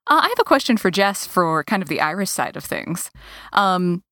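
A woman's voice: fairly low pitch, sing-song, moderate pace, fairly bright tone, no room sound, clean studio sound. A woman interviewer putting questions in a casual, conversational style.

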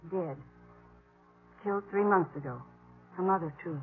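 A woman's voice: lowish voice, normal speed, faint music behind, close to an everyday voice. An actress playing a weary, defiant young captive woman in a 1950s radio western, blunt and bitter. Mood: sombre. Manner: blunt, bitter, plain-spoken. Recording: muffled old 1950s radio recording.

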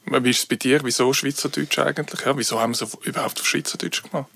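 Relaxed Swiss man with a middle voice. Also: mid-range voice, rapid-fire, gravelly, no echo. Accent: Swiss German. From Switzerland. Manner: relaxed, reflective, chuckling. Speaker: podcast co-host. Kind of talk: casual conversation.

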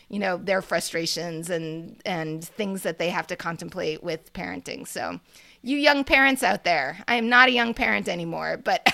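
A middle-aged woman with a bright, slightly gravelly voice talks casually on a podcast. She wanders through her points and laughs often. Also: a little high. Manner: chatty, meandering, laughs often. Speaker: podcast co-host.

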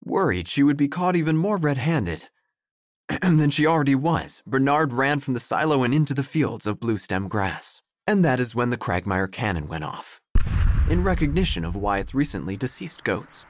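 An actor playing an earnest, wordy obituary writer who narrates an audio drama in a deliberate, deadpan way. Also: male voice, mid-range voice, lightly acted. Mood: deadpan, florid. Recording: faint music behind.

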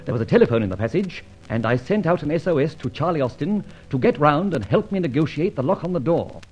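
An actor playing a gentleman adventurer who narrates his exploit in a formal, dramatic radio serial style. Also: a little high, expressive, fast talker, slightly rough, highs cut off. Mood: dramatic recounting. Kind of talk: scripted first-person radio serial narration.

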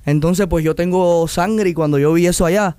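A man's voice: sits high, normal speed, smooth, no echo, clean studio sound. A young man from Cuba with a Cuban Spanish accent, telling stories animatedly as a guest in a casual interview, often acting out other people's voices. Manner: animated, acts out quoted voices, restarts often. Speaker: guest artist.

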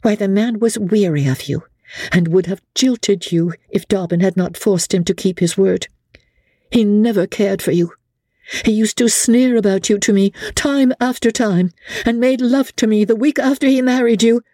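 A woman's voice: medium pitch, normal speed, dark tone, no room sound, clean studio sound, voice pushed up, natural voice. A woman voicing a worldly, scheming young woman who scolds with contemptuous scorn. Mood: scornful.

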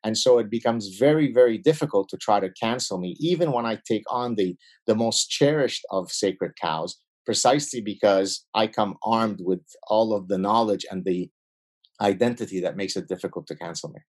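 A man who grew up in Lebanon with Arabic as his first language speaks in a low, deep register. He sits as a guest in an interview and argues with emphatic repetition, piling up lists and rephrasing as he goes. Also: moderate pace. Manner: animated, emphatic lists and restarts. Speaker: guest, behavioral scientist. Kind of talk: podcast interview.

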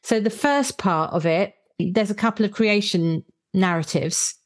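A woman's voice: mid-range voice, a little slow. A woman speaking as a podcast host, introducing and interviewing in an articulate, explanatory way.

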